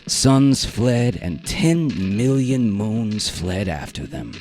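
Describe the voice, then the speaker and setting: Very deep, slow male dramatic narrator. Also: big pitch swings, a little mellow, faint music behind. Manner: deliberate, theatrical, with weighted pauses. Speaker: narrator and performer. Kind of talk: dramatic scripted story narration, radio drama.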